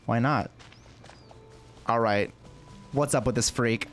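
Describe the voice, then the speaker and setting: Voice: medium pitch, takes it slowly, soft background music. A game streamer chatting casually and reacting with exclamations and swearing, sometimes reading game text aloud.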